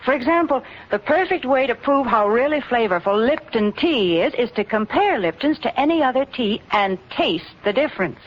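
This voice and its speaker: An American woman with a fairly high, animated voice sells in a chatty, polished manner. It sounds like a muffled 1940s broadcast. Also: moderate pace, few pauses. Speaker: sponsor's spokeswoman. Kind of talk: 1940s radio advertisement. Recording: muffled vintage 1940s radio recording.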